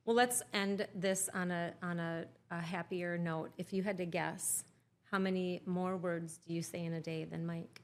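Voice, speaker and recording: A woman hosts a casual podcast interview in a wine bar, keeping her guest talking with steady short affirmations. Her voice sits slightly low. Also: moderate pace. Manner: encouraging, many short affirmations. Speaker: podcast host.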